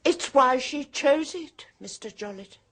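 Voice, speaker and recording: An actress playing a knowing, mocking woman in a radio drama, speaking in a slow, drawn-out manner. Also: high voice, animated, a bit bright, full character voice. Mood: sly. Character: knowing middle-aged woman, sister of a medium, sly and mocking. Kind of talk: 1970s radio drama acting.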